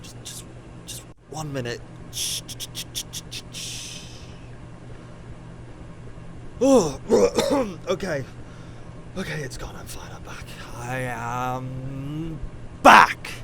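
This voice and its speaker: A man's voice: mid-range voice, animated, slow, a bit bright. Brash, impatient man scientist acted by a man, over noise. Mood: dazed, recovering. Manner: brash, sarcastic, dismissive. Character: cocky impatient time-travelling scientist, grown man. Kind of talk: audio drama acting.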